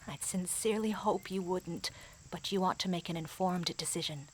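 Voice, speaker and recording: A woman playing a grave mystic advisor in a fantasy audio drama, speaking formally, in an earnest and measured way. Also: lowish voice, slight background noise, natural voice, poor audio.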